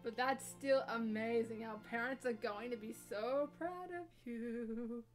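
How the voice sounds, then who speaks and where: A woman playing a doubtful but caring older sister mare in an amateur cartoon fan audio drama, speaking in a measured way. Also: fairly high pitch, faint music behind, natural voice. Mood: amazed. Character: sceptical athletic elder sister mare pony, caring.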